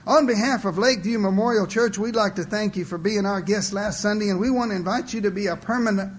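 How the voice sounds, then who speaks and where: A pompous old churchman acted out by a male storyteller. He pitches his voice high and blurts his pieties loudly, as if reciting them automatically. The delivery is chirpy, rote and formal. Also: fast talker, natural voice. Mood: rote formality.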